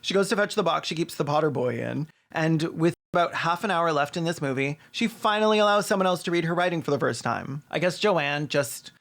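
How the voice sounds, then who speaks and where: A man's voice: on the high side, brisk, gravelly, few pauses, a bit bright. Sardonic man doing scripted commentary. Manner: sardonic, casually sweary. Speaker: video essayist host.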